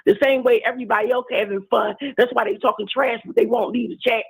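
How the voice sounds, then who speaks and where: A woman's voice: a little high, steady tone, quick pace, creaky, slightly rough, no room sound, rough recording. A woman calling in to a livestream, talking bluntly and jokingly in casual slang. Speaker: caller. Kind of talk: casual call-in chat.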